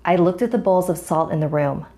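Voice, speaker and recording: A woman's voice: on the low side, fairly quick, mellow. A woman narrating a scripted first-person horror story as a storyteller. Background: music.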